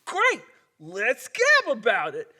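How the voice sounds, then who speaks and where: A man's voice: extremely high pitch, huge pitch swings, slow, sharp tone, full character voice. A man voicing an eager, oblivious hunchback handyman who hosts a comic radio call-in, chirpy and repetitive.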